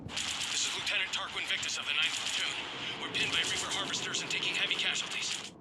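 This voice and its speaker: A man's voice: high-pitched, steady tone, fast, heavy background noise, close to an everyday voice. A man voicing an anguished alien platoon lieutenant in a video game, reporting urgently and in distress.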